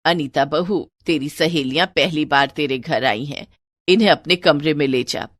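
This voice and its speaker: Woman voicing a lower-pitched kindly elderly mother-in-law. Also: moderate pace, no room sound, clean studio sound. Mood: kindly instructing. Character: kind elderly mother-in-law, gentle and hospitable.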